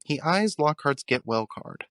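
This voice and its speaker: A man's voice: a little high, animated, measured pace, fairly bright tone, no room sound, studio quality. A man giving scripted narration for an audio drama, describing scenes as a storyteller.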